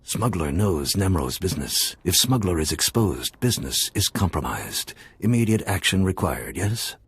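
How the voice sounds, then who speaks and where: A game character, a gruff alien fixer with an extremely low, very husky voice. He speaks in clipped, broken telegraphese, secretive and all business, recorded dry and close. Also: sing-song, moderate pace, dry recording, heavily acted. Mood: terse, secretive. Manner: clipped, telegraphic broken phrasing with tag questions.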